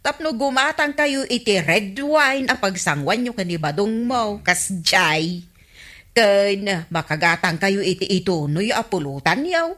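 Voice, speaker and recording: A woman's voice: mid-range voice, animated, measured pace, a bit bright. A woman with a Filipino accent playing an envious, teasing married village woman in a radio comedy drama.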